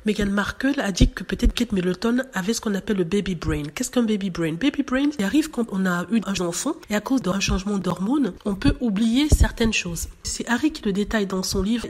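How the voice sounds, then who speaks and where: A woman speaks to her viewers in a casual gossip vlog. Her voice is hoarse from a cold. She chats loosely, filling pauses with hesitant fillers. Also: mid-range voice, a little hiss or hum. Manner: chatty, many hesitations with "euh". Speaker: celebrity gossip vlogger. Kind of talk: casual chat to camera.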